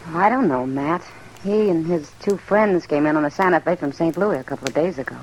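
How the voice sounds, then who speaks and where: A woman's voice: sits low, brisk, a little hiss or hum, lightly acted, rough recording. An actress playing an easygoing frontier saloon woman in an old-time radio western, offhand and familiar. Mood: casual.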